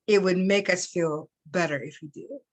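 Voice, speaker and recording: A woman voicing a weary father in a story, reassuring his family in a gentle, hesitant, insistent way. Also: lowish voice, moderate pace, close to an everyday voice.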